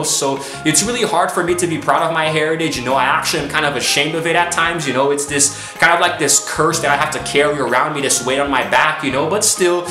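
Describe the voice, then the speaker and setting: A young man in his late twenties talks casually to his channel's viewers, drifting from point to point and filling every pause with "you know." His voice is bright and a little high for a man. The room has a noticeable echo, with faint music playing behind him. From United States. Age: 20s. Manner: rambling, leans heavily on "you know". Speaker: YouTuber. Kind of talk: casual monologue to camera.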